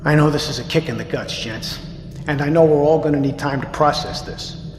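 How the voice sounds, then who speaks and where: An actor playing a steady, grave ship's captain, addressing his crew in a measured, authoritative way in an audio drama. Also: a little high, echoey room, big-room sound, faint music behind, natural voice. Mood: somber, sympathetic. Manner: measured, authoritative, plain-spoken. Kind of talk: scripted audio drama, formal announcement.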